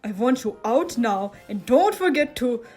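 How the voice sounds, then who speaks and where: A woman's voice: mid-range voice, lively intonation, normal speed, a little mellow, slightly rough, soft background music. A woman reading the part of a stern, easily exasperated young man who scolds bluntly and stammers when flustered in an audio story. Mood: irritated scolding.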